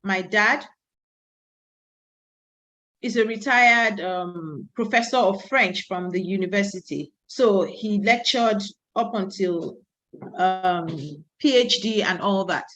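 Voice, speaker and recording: A woman's voice: medium pitch, slow pace, a bit bright, poor audio. A woman in her thirties from Nigeria, giving a conference talk and speaking in a storytelling way, with asides. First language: Yoruba. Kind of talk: presentation talk.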